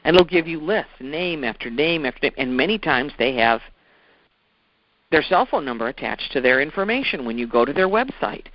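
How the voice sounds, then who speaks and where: A man's voice: fairly high pitch, lively intonation, normal speed, no echo. A man giving an informal instructional talk as a sales trainer, explaining things step by step in a conversational way and repeating key points. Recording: dull, lo-fi audio.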